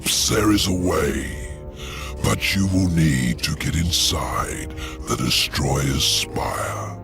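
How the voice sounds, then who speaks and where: An actor playing a huge imprisoned demon lord, proud and scheming, in a theatrical, gravelly voice with sudden shifts from loud to hushed. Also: male voice, extremely low pitch, slow, music in the background, heavily acted. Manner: theatrical, sweeping swings between loud and hushed. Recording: game score under the voice.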